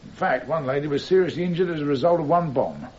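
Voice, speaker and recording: An actor playing a firm, guarded police commander who answers formally and tersely under cross-examination in a radio courtroom drama. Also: male voice, a little high, fast, darkish tone, lightly acted. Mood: measured, firm. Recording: dull radio recording with clear noise.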